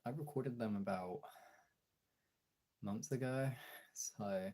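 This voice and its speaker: A British man with a raspy, hoarse voice from being ill, chatting casually and swearily on a livestream. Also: lowish voice, slow pace, dark tone. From Britain. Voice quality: raspy, throaty. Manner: informal, sweary. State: hoarse from illness, throat clearing. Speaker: live streamer and audio voice performer.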